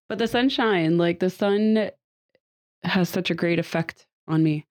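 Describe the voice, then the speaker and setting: A woman's voice: fairly low pitch, slow, darkish tone. A woman hosting a podcast conversation, a little nasal from a cold she is getting over, talking in a chatty, upbeat way. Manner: chatty and upbeat, with frequent fillers and light laughs. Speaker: podcast host. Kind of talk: casual group podcast chat. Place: seated at a table with guests.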